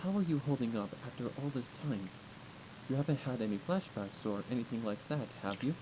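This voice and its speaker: A man voicing a gentle, concerned grown female pony princess with a formal manner in an audiobook. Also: mid-range voice, lively intonation, a little fast, close to an everyday voice. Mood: gentle, measured. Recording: clearly noisy recording.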